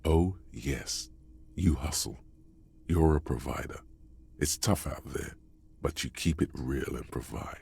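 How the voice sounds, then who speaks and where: A game's inner narrator with a very deep, husky voice. He sounds wry and goading as he needles the player with close, confiding remarks. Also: slow pace, long pauses, a little mellow, exaggerated voice. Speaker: game narrator voice. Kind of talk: scripted game narration.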